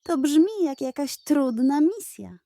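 A woman voicing a curious young schoolboy in a children's story, naive and excitable. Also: high-pitched, takes it slowly, dry recording, voice pushed up, heavily acted. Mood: amazed. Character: curious young schoolboy, grumbly then wide-eyed.

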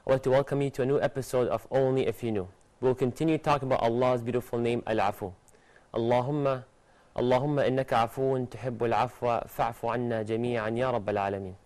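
A young male TV presenter teaching a studio group at a very rapid pace. He is animated, gestures as he talks, and recites verses in quick bursts. His voice sits at a middle pitch and is picked up cleanly by a lapel mic in a studio. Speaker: TV presenter of religious lessons. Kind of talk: religious teaching to studio guests. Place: TV studio with guests.

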